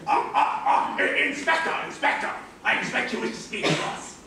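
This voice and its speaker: A man playing an anxious, elderly gentleman who stammers in an amateur stage play. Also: sits high, very animated, creaky, heavily acted. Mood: anxious, insistent. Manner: halting, stammering. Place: large theatre stage, indoors. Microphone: far camera. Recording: echoey theatre from far off, noisy.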